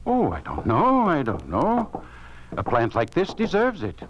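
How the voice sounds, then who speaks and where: A man acting a hesitant, blandly ominous government official in a 1950s radio drama, repeating himself with halting politeness. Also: on the high side, wildly swooping pitch. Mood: smoothly probing. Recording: noisy 1950s radio recording.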